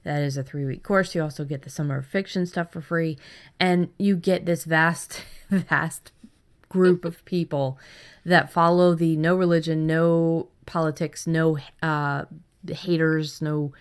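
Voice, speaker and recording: A woman's voice: sits low, fairly even intonation, measured pace, clear, resonant tone. A woman in her thirties co-hosting a podcast in casual chat, effusive and emphatic, often repeating for stress. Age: 30s. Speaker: podcast co-host.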